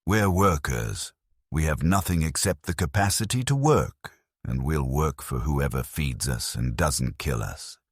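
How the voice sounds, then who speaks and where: A man voicing a weary, cynical forge worker in a sci-fi story, blunt and resigned, with a gravelly voice. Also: a bass voice, animated, measured pace, dry recording, clean studio sound, heavily acted. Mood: bitter resignation.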